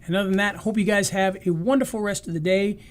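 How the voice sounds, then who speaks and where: A man's voice: high-pitched, fairly even intonation, fast talker. A man hosting a live marketing session, talking loosely and rambling with restarts, starting to lose his voice. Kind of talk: casual live-stream wrap-up.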